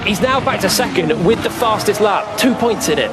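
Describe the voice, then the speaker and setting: A man's voice: high-pitched, expressive, moderate pace, over loud music. A man co-commentating on a live motor race, giving analytical expert remarks. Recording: clear engine noise behind. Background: racing car engines.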